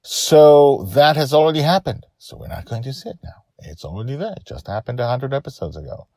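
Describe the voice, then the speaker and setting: A man hosting a story podcast, narrating theatrically and emphatically, with drawn-out words. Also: medium pitch, sing-song, darkish tone, dry recording, clean studio sound. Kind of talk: dramatic scripted storytelling with host asides.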